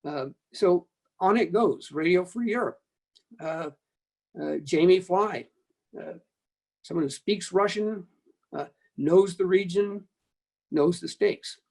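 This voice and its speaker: Halting older American man, higher-pitched voice. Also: a little mellow. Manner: halting, measured delivery in short phrases. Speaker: panelist, former broadcasting board member. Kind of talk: panel discussion, reflective remarks.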